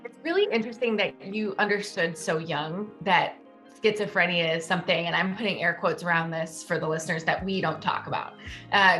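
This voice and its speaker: A woman hosting a podcast interview, asking questions in a lively way. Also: fairly low pitch. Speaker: podcast host.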